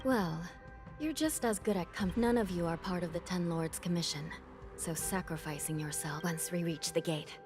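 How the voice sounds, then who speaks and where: A woman voicing a polite, dutiful undead officer of the underworld in a video game, measured and composed. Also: on the low side, over music, lightly acted. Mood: composed, resolute. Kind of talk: video game story voice acting.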